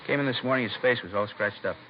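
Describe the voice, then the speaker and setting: A man's voice: medium pitch, fast talker, natural voice. An American man playing a chatty, helpful laundry shopkeeper in a 1950s radio drama, hesitant and rambling as he talks. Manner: hesitant, rambling, mumbling to himself. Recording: noisy, muffled vintage radio recording.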